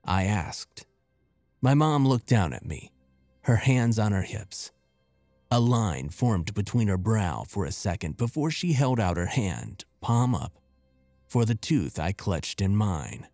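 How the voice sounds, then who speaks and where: A man reading a scripted horror story in a measured, deliberate and expressive way. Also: on the low side, no room sound. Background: music.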